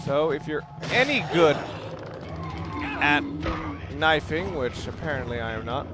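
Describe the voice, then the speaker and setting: A man's voice: fairly high pitch, animated, measured pace. Wry male gamer commentating over music. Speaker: let's play commentator. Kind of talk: casual game walkthrough commentary. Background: game music and sound.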